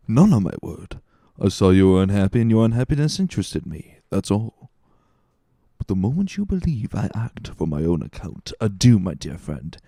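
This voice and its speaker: A man's voice: deep voice, dark tone, no echo, clean studio sound. Man playing a sly, glib scheming villain. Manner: calculating, glib. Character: sly, scheming ship's supercargo, smooth manipulative villain.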